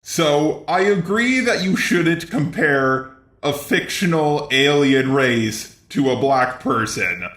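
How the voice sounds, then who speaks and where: A man's voice: on the high side, lively intonation, takes it slowly, a bit bright, reverberant. A man's synthetic text-to-speech voice delivering scripted video commentary.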